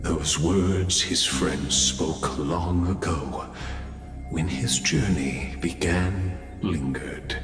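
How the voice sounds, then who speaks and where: A grave storyteller of heroic legend in a game, very low and extremely slow. His theatrical delivery rings in a long reverb over clear music. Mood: solemn, grand. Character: solemn male storyteller of an epic legend. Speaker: narrator. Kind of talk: scripted epic narration.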